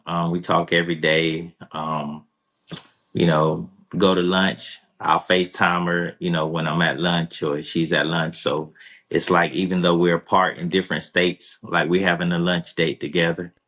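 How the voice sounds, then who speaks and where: A man answering interview questions in a relaxed, rambling way, full of fillers and restarts. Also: deep voice, normal speed. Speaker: guest.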